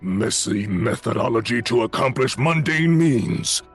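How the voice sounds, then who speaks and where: Fussy, wordy adventurer-mage for fantasy audio drama; husky voice, pompous, very animated delivery. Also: male voice, medium pitch, measured pace, soft background music. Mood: musing. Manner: pompous, polysyllabic vocabulary. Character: verbose, fussy, dapper adventurer and spellcaster.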